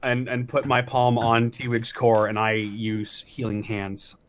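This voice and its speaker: A man playing a tabletop game, describing his character's actions in a halting, understated way. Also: medium pitch, monotone.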